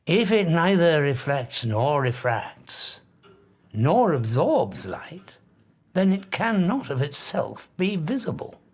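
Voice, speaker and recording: Obsessive grandiose scientist, audiobook drama. Emphatic, deliberate lecturing with dramatic pauses, slightly high voice. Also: male voice, sing-song, husky, exaggerated voice. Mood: intense explaining. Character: obsessive, grandiose male scientist, arrogant and intense.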